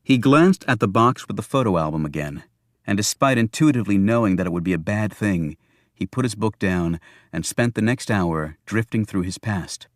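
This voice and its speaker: Male narrator, lower register, scripted thriller audiobook, very dry sound. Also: lively intonation, normal speed, dry recording.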